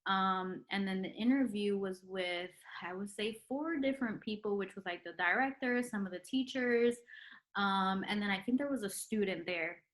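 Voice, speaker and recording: A young woman with a bright, forceful voice talks through her story in a relaxed interview. She fills her speech with ums and likes and laughs easily as she goes. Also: mid-range voice, normal speed. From Puerto Rican heritage. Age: young adult. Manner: chatty, many ums and likes, laughs often. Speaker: interview guest, retired nurse. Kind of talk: casual interview chat.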